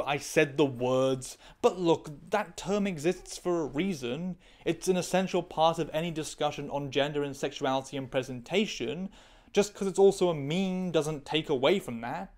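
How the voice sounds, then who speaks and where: A woman's voice: sits low, darkish tone. A woman reading her own scripted video essay, candid, opinionated and analytical. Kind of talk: scripted commentary.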